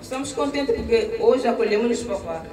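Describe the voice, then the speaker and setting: Um homem que interpreta ao vivo uma pregação para o português, repetindo cada frase logo após o pregador. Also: male voice, very high voice, expressive, darkish tone, distant mic. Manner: quick, repeats each phrase after the preacher. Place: church gathering. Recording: echoey hall recorded from afar. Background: congregation.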